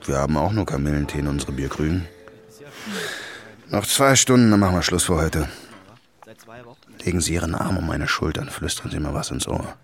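An actor playing a gruff, commanding police inspector in a German radio drama, speaking in a terse, sardonic way. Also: male voice, extremely low pitch, fairly quick, pauses often. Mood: low, grim. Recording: music bed behind the voice.

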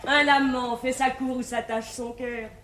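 An actress playing a sensible, poised young woman in love in a classical verse comedy, measured and articulate in 1950s stage style. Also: high voice, fairly even intonation, a bit bright. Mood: reasoning, gently teasing. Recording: echoey, hissy 1950s theatre recording.

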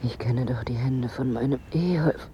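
A woman's voice: a bass voice, normal speed, dull audio, background noise. An actress playing a married woman on holiday in a radio drama, wistful and coolly wry, speaking in an understated, musing way. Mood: quietly hopeful. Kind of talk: intimate radio drama acting.

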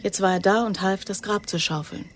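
An actress playing an earnest, guarded young recruit who answers with restraint in a radio crime drama. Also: female voice, medium pitch, a little fast, slight background noise, natural voice. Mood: subdued, recounting.

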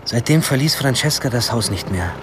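A man's voice: medium pitch, animated, moderate pace, noisy background, lightly acted. An actor playing a defiant sixteen-year-old schoolboy who tells his story in a dry, sarcastic, crude way in a radio drama. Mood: dry narrating. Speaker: lead actor and narrator. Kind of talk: German radio drama, first-person narration and dialogue.

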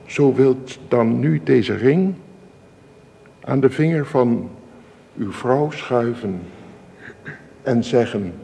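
An actor playing a solemn church minister in a radio drama, speaking formal, measured, ceremonious wedding liturgy. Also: male voice, mid-range voice, warm tone. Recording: reverberant church-like hall, clear hiss.

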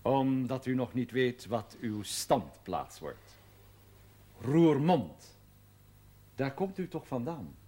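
A pompous gentleman in a television drama. He is husky and medium-pitched, with animated intonation. His speech is formal, flowery and full of courteous flattery. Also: slow pace, long pauses, heavily acted. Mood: persuasive, reassuring. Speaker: actor in a television drama series. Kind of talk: mannered television drama dialogue.

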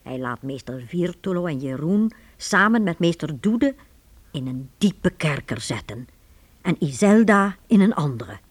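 A woman storyteller narrates a radio drama. Her voice is husky and lively, moving freely up and down as she reads the scripted tale. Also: low voice, unhurried. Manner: animated, storybook delivery.

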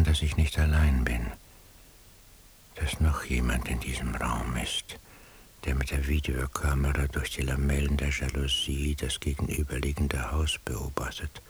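A very deep-voiced weary surveillance man thinks aloud through a faint hiss. He speaks slowly and introspectively, half whispering, like a private monologue in a radio play. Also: darkish tone. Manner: slow, measured, introspective. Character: brooding, weary male surveillance eavesdropper on night watch. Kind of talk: literary radio drama interior monologue. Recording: hissy radio drama recording.

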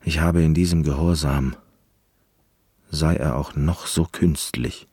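An actor playing an eccentric, reclusive concert pianist in a radio play monologue, speaking in an intense, deliberate, reflective way. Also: male voice, rumbling low, steady tone, a little mellow, breathy edge, no echo, clean studio sound, heavily acted. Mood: brooding.

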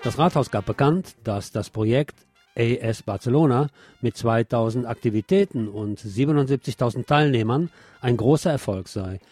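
A man's voice: mid-range voice, moderate pace, a little mellow, dry recording, faint music behind. A man presenting scripted news with commentary, explaining items in an opinionated way.

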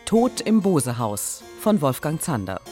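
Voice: mid-range voice, big pitch swings, measured pace. An announcer reading scripted cast credits for a radio drama. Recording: music under the announcement.

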